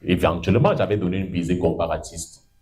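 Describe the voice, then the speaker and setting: Casting: middle-aged Cameroonian lecturer, low voice, jumps high for emphasis, wandering asides. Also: male voice, fairly quick. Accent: Cameroonian French. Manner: lively and digressive, pitch leaping up for emphasis, stretching words. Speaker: law lecturer. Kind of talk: university lecture. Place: lecture room.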